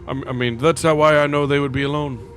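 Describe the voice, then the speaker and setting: A man acting as game master, narrating and speaking in character in halting phrases with pauses. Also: fairly high pitch, steady tone, faint music behind.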